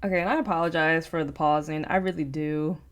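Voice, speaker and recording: A woman hosting a casual reaction video, expressive and slangy, full of exclamations. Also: low voice, fast talker, slight background noise.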